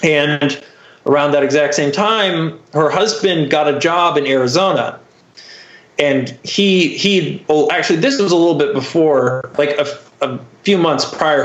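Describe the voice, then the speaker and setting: A man with a slightly high voice tells a personal story as a podcast guest. His talk is loose, candid and sweary, full of "like" and "you know". The sound is echoey and a little muffled. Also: normal speed. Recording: roomy, slightly dull muffled audio.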